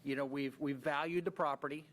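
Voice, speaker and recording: A man's voice: a little high, steady tone, a little fast, fairly bright tone. An American Midwestern man on county staff explaining a project at a commission meeting, with many fillers and restarts.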